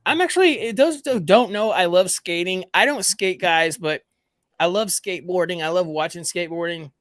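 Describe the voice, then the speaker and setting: A man's voice: high voice, moderate pace, fairly bright tone, dry recording, clean studio sound. A man from the American South, hosting a casual livestream podcast chat, rambling and repeating himself as he talks to his viewers. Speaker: podcast host.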